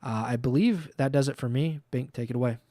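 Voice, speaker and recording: A man co-hosting a livestreamed podcast in casual chat, easygoing and filling pauses with uh and um. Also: medium pitch, mellow. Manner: easygoing, many uh and um fillers. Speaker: podcast co-host.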